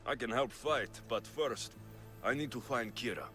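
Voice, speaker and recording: An actor playing a stoic, battle-hardened mercenary in a video game, blunt and dry. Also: male voice, mid-range voice, moderate pace, over music, natural voice. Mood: blunt, resolute. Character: stoic, battle-hardened young male Greek mercenary, dryly sardonic. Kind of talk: video game cutscene acting.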